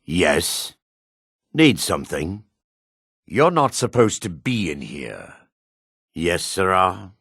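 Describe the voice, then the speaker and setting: A gruff dark elf for a fantasy game, his voice raspy and forceful. He is suspicious and curt, with a sneering edge and pitch that swings widely from line to line. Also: sing-song, slow, pauses often, no echo, studio quality, exaggerated voice. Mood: wary warning. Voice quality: gravelly, raspy. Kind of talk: video game character barks.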